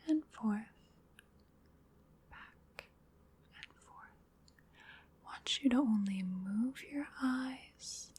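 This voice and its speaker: Woman doing asmr hypnosis, super slow breathy whisper, soothing, kinda high voice. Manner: soothing, unhurried, repetitive guided relaxation. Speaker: ASMR performer.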